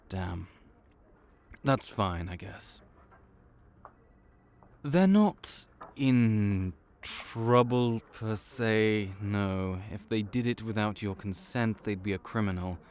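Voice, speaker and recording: A man's voice: fairly low pitch, clear, resonant tone. A man voicing a laid-back vampire clerk and mentor in an audio roleplay, dry and wry as he speaks to the listener.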